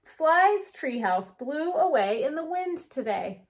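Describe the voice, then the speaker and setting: Slow-paced woman reading a children's storybook. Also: high voice. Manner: animated, drawn-out storybook delivery. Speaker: teacher reading a picture book aloud for pupils. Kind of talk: expressive children's read-aloud with chatty asides.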